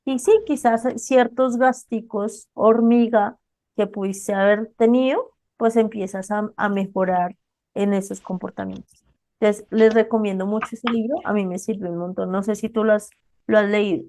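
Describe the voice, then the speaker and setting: A woman's voice: medium pitch, fairly even intonation, normal speed, a little mellow. A woman hosting a podcast, talking conversationally about her own saving habits and asking her guest questions. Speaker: podcast host. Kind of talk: casual podcast interview.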